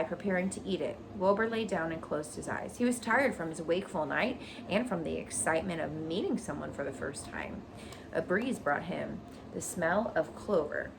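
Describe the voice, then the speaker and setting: A woman teacher reading a children's story aloud expressively, pausing to explain things to young listeners. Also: on the low side, gravelly, background noise.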